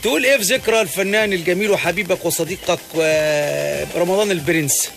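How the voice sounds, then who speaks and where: A man hosting a radio show, interviewing callers by phone in quick, restless talk full of fillers and restarts. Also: high-pitched, creaky. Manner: fast, animated, many fillers and restarts. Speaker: radio host.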